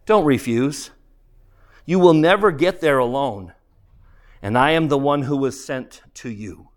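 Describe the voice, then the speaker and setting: A man voicing a serene, gently amused heavenly spirit in a storybook, speaking with calm candour. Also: mid-range voice, a little slow, close to an everyday voice. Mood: kindly urging. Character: serene, mirthful heavenly spirit of a forgiven male murderer. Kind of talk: dramatised audiobook character voice.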